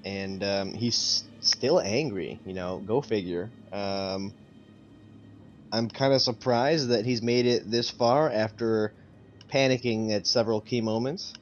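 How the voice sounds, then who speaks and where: A man's voice: fairly low pitch, measured pace. A man talking casually as a player in a tabletop game. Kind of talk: casual chat. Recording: dull lo-fi sound, faint noise.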